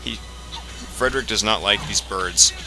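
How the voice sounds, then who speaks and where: A man with a fairly low voice gives casual commentary on a video game. He rambles and explains as he goes, halting and picking up again, with clear game music playing behind him. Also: poor audio. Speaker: let's play commentator.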